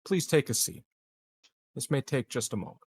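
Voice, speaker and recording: A man with a medium-pitched voice plays a tabletop game. He chooses his words in a measured, halting way, recorded close with no room echo. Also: lively intonation, slightly rough. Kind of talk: casual, in-character game talk.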